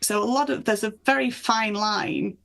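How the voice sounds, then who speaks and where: Creaky-voiced woman chatting as a guest author. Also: medium pitch, steady tone, moderate pace, a bit bright. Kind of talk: conversational interview chat about folklore.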